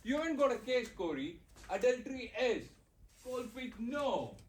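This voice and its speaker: An actor playing a tired, buttoned-up young lawyer husband, exasperated and lecturing. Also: male voice, very high-pitched, big pitch swings, moderate pace, far from the mic. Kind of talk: stage play acting. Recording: echoey room, faint noise.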